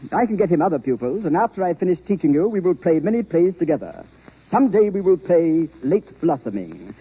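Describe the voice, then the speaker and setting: Vain theatrical thespian, male, patronizing, quick and florid, on muffled vintage radio. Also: fairly high pitch, big pitch swings, breathy edge, full character voice. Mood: expansive, smug. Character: vain, pompous, self-important veteran stage actor. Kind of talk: 1940s radio drama acting.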